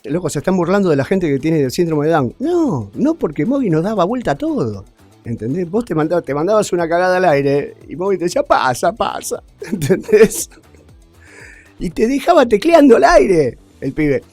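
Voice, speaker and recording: A man's voice: high-pitched, very animated, moderate pace, darkish tone, soft background music. A man with an Argentine accent giving a casual, slangy, emphatic interview.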